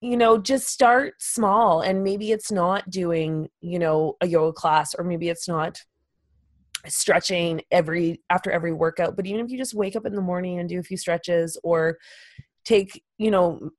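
A woman's voice: fairly low pitch, normal speed. A woman with a cold who is losing her voice, co-hosting a casual podcast and talking hesitantly with frequent restarts. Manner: hesitant, trails off and restarts often. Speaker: podcast co-host. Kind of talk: casual chat.

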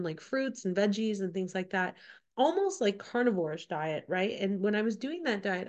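A woman's voice: mid-range voice, a little mellow. 40s lady chatting on her podcast, voice a bit off from a cold, lots of "like" and "right?". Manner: chatty, repeats points, many "like" and "right?". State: getting over a cold, slightly off voice. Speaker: podcast co-host. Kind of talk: casual solo podcast talk.

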